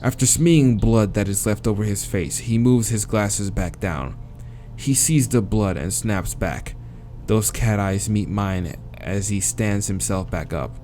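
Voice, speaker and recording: A man's voice: lowish voice, unhurried, mellow, soft background music. A man reading visual novel narration aloud as a let's player, with casual, crude asides.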